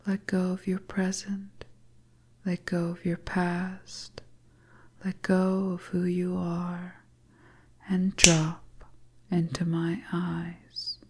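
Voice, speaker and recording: Lady doing a seductive bossy witch, whispery asmr hypnosis thing, low-ish and barely varies in pitch, coaxing. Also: monotone, very slow, long pauses, darkish tone, slight background noise. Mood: hypnotic, commanding. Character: seductive, commanding witch fortune-teller, slowly hypnotizing her listener.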